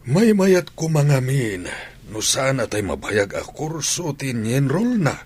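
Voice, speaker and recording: A fond, worried old grandfather in a radio drama. His voice is extremely husky and rough, medium in pitch and expressively varied. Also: sing-song, a little slow, darkish tone. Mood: concerned. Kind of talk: radio drama acting in Ilocano.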